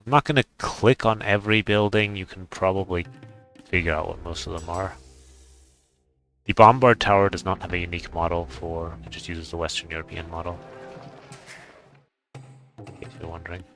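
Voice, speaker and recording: A man with a deep voice and a bright, forward tone talks through a game showcase. He is casual and unscripted, rambling a little as he thinks aloud. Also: fairly even intonation, normal speed, pauses often, faint music behind. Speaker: gaming video creator.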